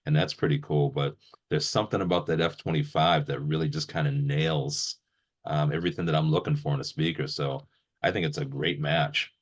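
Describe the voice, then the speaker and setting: A man with a remarkably deep, bottom-heavy voice. He talks as the interviewer in a casual conversation, keeping things informal. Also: fairly even intonation, brisk. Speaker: interviewer and gear reviewer.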